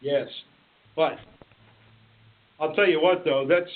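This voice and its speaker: A man's voice: a little high, slow pace. A man talking conversationally as a participant in a conference call meeting. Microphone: telephone. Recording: tinny conference phone line.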